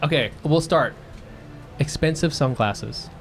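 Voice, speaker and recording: A man's voice: a little high, a little slow, faint music behind. A man co-hosting a podcast, chatting casually and joking, often laughing. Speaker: podcast co-host. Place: live show with audience.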